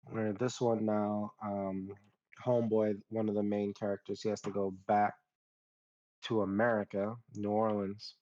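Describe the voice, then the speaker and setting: A man casually recapping a story in slangy, unhurried phrases, recorded with slightly muffled sound. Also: lowish voice, level tone, dark tone. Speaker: panelist on a nerd culture show. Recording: dull, muffled lo-fi audio.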